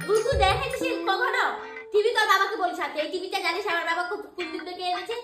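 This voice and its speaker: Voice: extremely high pitch, fast, reverberant. A performer voicing a bossy, scornful young girl in a children's cartoon, scolding and threatening in a haughty way over music. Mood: angry scolding. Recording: music behind the voice.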